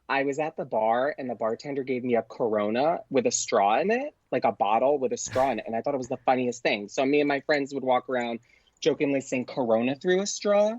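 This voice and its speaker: A man's voice: mid-range voice, a little mellow, no room sound, highs cut off. Chatty man in a casual interview. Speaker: guest, actor and performer.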